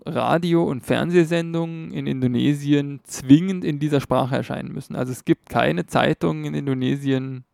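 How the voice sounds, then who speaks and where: A man talks through a topic in a relaxed, explanatory way, often pausing on fillers and correcting himself. His voice is dark and gravelly, recorded dry and close. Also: mid-range voice, dry recording. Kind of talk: casual educational talk.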